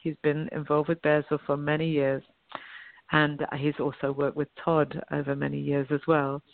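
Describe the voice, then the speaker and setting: A woman's voice: deep voice, flat delivery, moderate pace, vocal fry, no room sound. A woman with a British accent, a guest phoning in to a radio show, chatting upbeat and thinking aloud. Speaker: guest, venue owner. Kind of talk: phone-in radio interview. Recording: tinny phone line.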